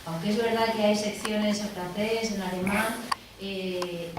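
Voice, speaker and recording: A woman's voice: medium pitch, steady tone, a little slow. A woman with an accent from Spain gives an informal, explanatory public talk in a conversational way. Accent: Spanish from Spain. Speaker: speaker at a public event. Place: indoor hall at a public event. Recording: very echoey hall, distant mic, noisy.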